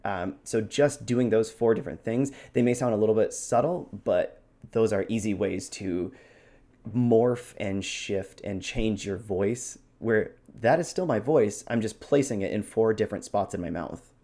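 A man with a fairly low voice speaks as a podcast co-host. He explains technique in a conversational, instructive style. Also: unhurried, warm tone. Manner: demonstrates points with his own voice. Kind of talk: explanatory podcast talk on voice acting.

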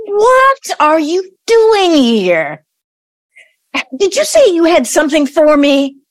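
A woman's voice: extremely high pitch, wildly swooping pitch, slow, heavily acted. A woman acting in an audio play as a defensive, irritated grown daughter, curt, guarded and sarcastic. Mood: curt, suspicious.

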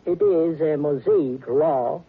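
An actor playing an older, verbose, self-satisfied psychoanalyst in a theatrical, pedantic style of old-time radio drama. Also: male voice, fairly high pitch, takes it slowly, slight background noise. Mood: musing. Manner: theatrical, rambling, pedantic, chuckling. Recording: muffled, lo-fi vintage radio broadcast.

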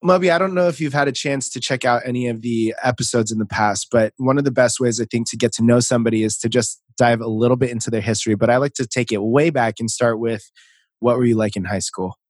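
A man's voice: medium pitch, quick pace, no room sound, clean studio sound. A man hosting a casual podcast interview over a video call, upbeat and chatty, laughing easily. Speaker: podcast host.

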